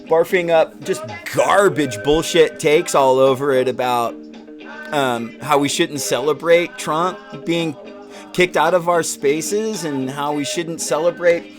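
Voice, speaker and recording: A man hosting an online show, talking informally and sardonically in a casual, sweary monologue to viewers. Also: a little high.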